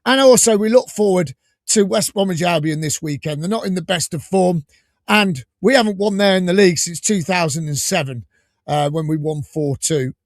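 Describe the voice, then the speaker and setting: A man hosting a live fan podcast, enthusiastic and rambling, a little croaky from a cold. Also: high-pitched, normal speed, no echo, clean studio sound. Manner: enthusiastic, rambling, laughs often. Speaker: podcast host.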